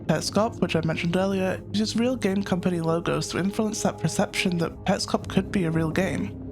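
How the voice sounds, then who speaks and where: A man narrating a scripted analytical video essay as its host, in a measured, explanatory manner. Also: sits high, over music.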